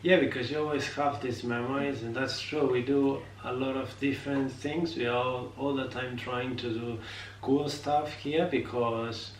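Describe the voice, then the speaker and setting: A man's voice: mid-range voice, fairly even intonation, moderate pace. A young man from Spain with a Spanish accent, chatting casually on a vlog and hesitating often as he hunts for words in his second language. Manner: hesitant, many filler sounds, apologetic about his English. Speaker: vlogger. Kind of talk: casual chat. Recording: echoey room, mic set far off, faint noise.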